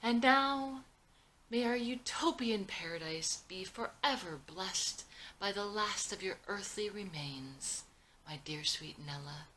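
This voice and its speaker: A woman's voice: mid-range voice, animated, slow pace, pushed and bright, slight background noise, pitched above the performer's own voice, low-quality recording. A woman voicing a sentimental, idealistic older founder and father, earnest and emotional, in a dramatic script reading. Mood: choked up, tender. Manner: earnest, emotional, speech-making.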